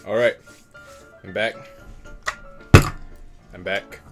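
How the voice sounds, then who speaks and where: A man with a fairly low voice hosts a casual chat over drinks. He is informal and jokey, tossing in the odd mild swear. Music plays clearly behind him. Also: steady tone, drawn-out words. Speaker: talk show host.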